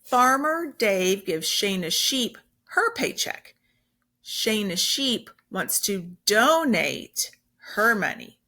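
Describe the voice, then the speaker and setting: A woman's voice: medium pitch, very animated, takes it slowly, pushed and bright. A woman teacher reading a children's story aloud, explaining simply and with emphasis as she goes.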